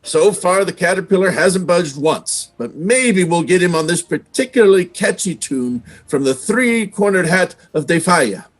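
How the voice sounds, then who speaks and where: A man's voice: high voice, expressive. A man playing a weary, persistent orchestra conductor giving clipped instructions in old time radio drama style. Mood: weary, commanding. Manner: brisk, commanding, clipped.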